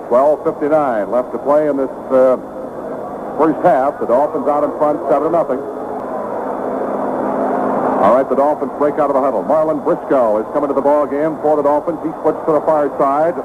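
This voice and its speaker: A man calls football on the radio, his fairly high voice brisk and quick to jump up on a big play. The sound is thin and phone-like, the way an old broadcast comes through. A steady hiss runs underneath. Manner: brisk, rising excitement on big plays.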